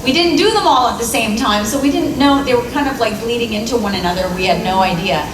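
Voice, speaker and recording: Middle-aged woman, voice actor on a con panel, animated storyteller; distant mic in a noisy, echoey hall. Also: fairly high pitch, fast. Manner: animated storytelling, acting out her old reactions. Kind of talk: casual panel chat. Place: convention hall.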